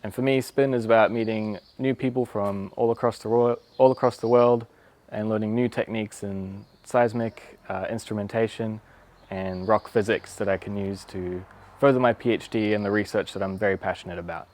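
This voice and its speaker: A man's voice: on the low side, steady tone, moderate pace, a little mellow. A man in his twenties with a New Zealand accent, a PhD student giving a fluent, enthusiastic self-introduction. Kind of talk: short self-introduction to camera.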